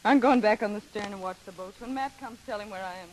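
A woman's voice: medium pitch, quick pace, a little mellow, close to an everyday voice. An actress playing a tough yet tender young American woman, plain-spoken and guarded, in a 1930s radio drama. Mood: weary, resolute. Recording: hissy, noisy 1930s radio broadcast.

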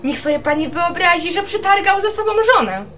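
A woman's voice: very high voice, animated, fairly quick, fairly bright tone, faint music behind. A woman playing a vain, gossipy, spiteful young violinist in a radio drama, with a theatrical, haughty manner.

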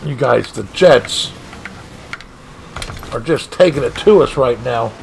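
Excitable man narrating his own gameplay. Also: medium pitch, slow pace, creaky, a little hiss or hum, low-quality recording. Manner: animated, exclaiming, frustrated at missed shots. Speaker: gaming streamer. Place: home gaming room.

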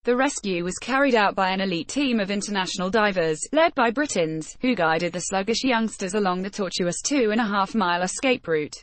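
Female news voice-over, steady read, dry close recording. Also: mid-range voice, no room sound. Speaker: news reader.

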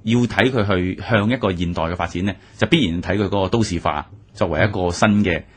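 A man's voice: deep voice, a little fast, a little hiss or hum. A man with a Hong Kong Cantonese accent giving orderly, point-by-point analysis in a radio discussion.

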